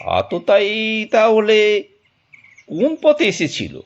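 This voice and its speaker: A man narrating a detective audio drama in an expressive, dramatic storytelling manner with deliberate pauses. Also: high-pitched, dull audio.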